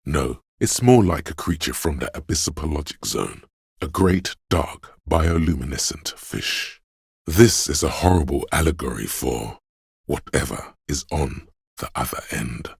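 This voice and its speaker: A gravelly, very deep, grandiose inner voice. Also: male voice, dry recording, clean studio sound, heavily acted. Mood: brooding, poetic. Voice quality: gravelly, husky bass. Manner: dramatic, florid, deliberate. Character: grandiose, artsy inner voice of a detective's imagination. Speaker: video game narrator. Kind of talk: theatrical, literary game narration.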